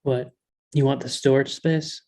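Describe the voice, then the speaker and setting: A man's voice: medium pitch, steady tone, measured pace, vocal fry, darkish tone. A man playing a blunt, dismissive, jaded character in a comedic table read.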